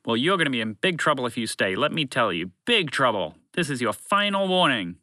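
A man's voice: medium pitch, big pitch swings, brisk, sharp tone, no room sound, studio quality. A man voicing a bumbling, flustered alien announcer who rambles and corrects himself in a children's story. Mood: blustering warning. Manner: hesitant, rambling, self-correcting.

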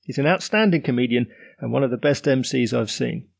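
A man's voice: medium pitch, a little fast, vocal fry, slightly rough, no echo. A man from England hosting a comedy podcast, with a playful, punning delivery, reading a scripted intro and then interviewing a guest. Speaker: podcast host.

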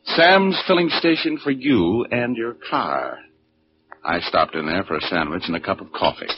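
An actor with an American accent playing a wry, persistent private detective in a 1940s radio drama, both in scenes and narrating. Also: male voice, on the low side, normal speed, close to an everyday voice. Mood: wry narration. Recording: muffled, noisy 1940s radio recording.

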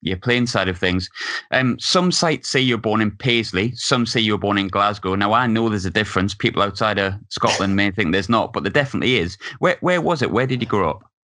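A man's voice: on the low side, lively intonation, a little fast, bright, forceful tone, no room sound, clean studio sound. A man interviewing a football coach, asking questions in an easygoing, chatty way.

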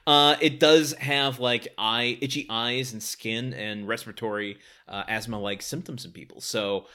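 A man's voice: mid-range voice, steady tone, a little slow, bright, forceful tone. A man co-hosting a podcast in casual chat, laughing often and trailing into fillers. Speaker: podcast co-host.

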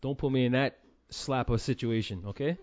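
A man's voice: medium pitch, moderate pace, darkish tone, dull audio. A man co-hosting a casual podcast, joking around and swearing freely. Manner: joking, brash, profane. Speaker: podcast co-host.

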